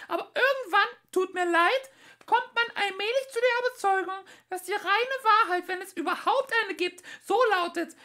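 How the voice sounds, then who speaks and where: A man voicing two scheming male mice scientists in a very high squeaky voice, reading an audiobook. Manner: fast, excited, scheming.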